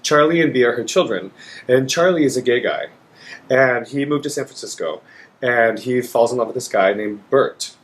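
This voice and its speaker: A man's voice: mid-range voice, moderate pace. Enthusiastic rambling male opera singer. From Dallas, Texas. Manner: enthusiastic, rambling, frequent fillers. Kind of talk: casual interview chat.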